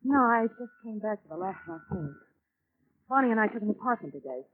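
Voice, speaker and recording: A woman's voice: medium pitch, expressive, fast talker, natural voice. A woman playing a wary, edgy young American woman in an old-time radio drama, with a hesitant manner. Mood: wary, urgent. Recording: tinny, slightly noisy old radio recording.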